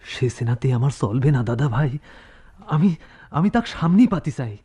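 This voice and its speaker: An actor playing a humble, yearning young folk mystic in a radio drama, with a rural Bangladeshi Bengali accent. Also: male voice, mid-range voice, lively intonation, moderate pace, warm tone, husky. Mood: earnest. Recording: faintly noisy radio drama recording.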